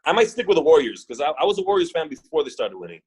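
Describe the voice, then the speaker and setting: Husky man from Puerto Rico, halting. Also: fairly high pitch, sing-song, darkish tone. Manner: halting, repeats words when thinking. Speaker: wrestler guest. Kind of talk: casual podcast chat.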